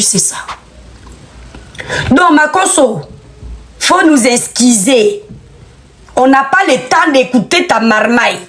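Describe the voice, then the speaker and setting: A woman giving an outspoken commentary monologue, emphatic and scolding, repeating phrases for effect. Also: high-pitched.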